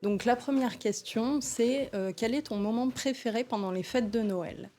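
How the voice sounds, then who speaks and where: A woman's voice: a little high, normal speed, warm tone, faint music behind. A woman in her twenties hosting a casual live chat, often hesitating with fillers. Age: 20s. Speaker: live host.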